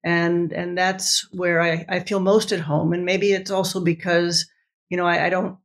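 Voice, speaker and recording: A woman whose first language is Italian, a professor speaking as a podcast guest, enthusiastic and halting with many restarts. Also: on the low side, flat delivery. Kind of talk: podcast interview.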